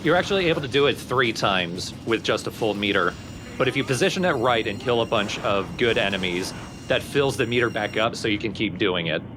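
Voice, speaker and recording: A man's voice: on the low side, animated, a little fast, background noise. A man hosting a let's play, explaining a video game in casual commentary. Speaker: let's play host and player. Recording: game music behind the voice. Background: video game music and effects.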